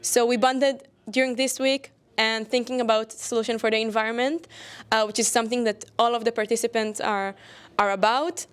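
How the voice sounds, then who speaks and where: A woman's voice: fairly high pitch, fairly even intonation, moderate pace, a bit bright, clear, resonant tone. A young woman from Israel, a design student answering with many fillers and restarts. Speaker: design graduate student, hackathon winner. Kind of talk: interview answers.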